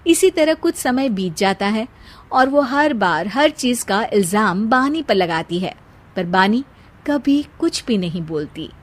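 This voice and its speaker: A woman's voice: medium pitch, expressive, unhurried. A woman giving scripted narration for a family cartoon story.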